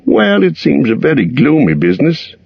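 A man plays a small, kindly hobbit in a storybook reading, pitched well above his own voice. The hobbit sounds shaky and fretful, his husky tone muffled by a dull, lo-fi recording. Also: wildly swooping pitch, moderate pace, dry recording, exaggerated voice. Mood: gloomy. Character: small anxious male hobbit, weary and kindly.

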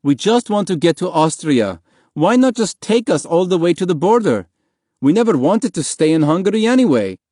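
A man's voice: high voice, very animated, normal speed, mellow, no echo, voice pushed up. A man acting out a proud refugee father in a storybook reading, full of outrage and pleading. The voice rises above a middle register and swings widely, in a close, dry recording. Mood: indignant.

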